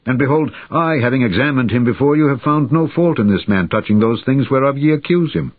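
A man's voice: mid-range voice, fairly quick, no echo, voice pushed up, natural voice. A man reading as a stately, emphatic Roman governor. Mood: firm, declaring. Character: Roman governor, firm, reasoning, reluctant judge. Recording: muffled, band-limited old audiobook recording.

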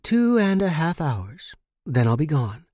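A man's voice: a little high, sing-song, measured pace, mellow, breathy edge, dry recording, clean studio sound, voice pushed up, heavily acted. A man voicing a coolly menacing young woman smuggler in an audio story, with casual, matter-of-fact delivery. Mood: calm, threatening. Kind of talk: audio story character reading.

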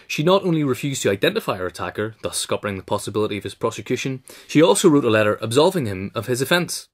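A man reading a written biographical essay in a measured, formal way. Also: mid-range voice. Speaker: narrator, reader. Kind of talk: scripted narration of a biographical essay.

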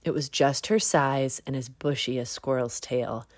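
Woman doing storytime narration, low voice, measured, no room echo. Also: fairly even intonation. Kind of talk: scripted children's story narration.

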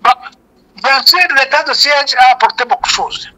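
A man's voice: very high voice, moderate pace, sharp tone. An older man with a Rwandan accent, a native Kinyarwanda speaker, giving emphatic, repetitive political commentary as an interview guest over a phone. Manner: emphatic, repeats key points. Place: remote call, shown as a photo.

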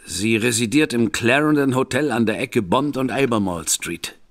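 An actor playing a reserved, earnest gentleman who speaks in a measured, formal way. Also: medium pitch, close to an everyday voice. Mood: grave, earnest. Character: grave, guarded detective's brother, reserved gentleman. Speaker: Mycroft Holmes. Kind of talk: radio play acting.

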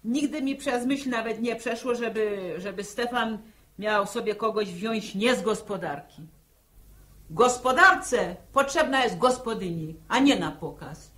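Plain-spoken farm housewife, highish voice. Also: female voice, unhurried, a bit bright. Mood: disapproving. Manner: plain-spoken, matter-of-fact. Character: practical, brisk farm housewife and mother. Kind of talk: 1960s Polish radio drama acting.

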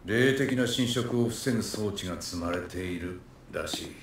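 A man voicing a gruff, world-weary partner in a video game, with blunt, rough, terse speech. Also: sits low, expressive, normal speed, background noise. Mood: grave. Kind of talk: cinematic video game voice acting. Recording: echoing voice under loud music.

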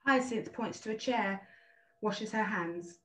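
A woman's voice: mid-range voice, slow pace, a bit bright. A woman narrator reading out the scripted stage directions of a play. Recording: echoey, distant from the mic.

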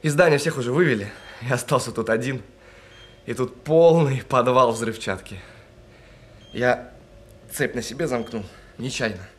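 Male actor as guilt-ridden bomb technician in a radio play: halting, sincere, apologetic, mid-range voice. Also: medium pitch, natural voice. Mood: remorseful, resigned. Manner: halting, earnest, apologetic, with stammered restarts. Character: guilt-ridden male bomb defuser saying goodbye by phone. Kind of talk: audio drama acting.

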